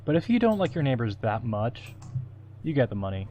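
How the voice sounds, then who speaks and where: A man's voice: mid-range voice, very animated, brisk, dark tone, a little hiss or hum. Joking man on a voice call. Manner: joking, teasing, laughs often. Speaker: co-host watching a film. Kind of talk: casual group commentary over a movie.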